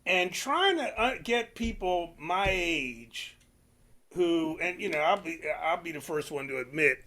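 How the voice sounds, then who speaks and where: An older man hosting an interview, eager and rambling, piling up fillers as he works toward his questions. His voice is bright and lifts a little higher than most men's. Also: animated, measured pace. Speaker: interviewer, host. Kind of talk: casual interview conversation.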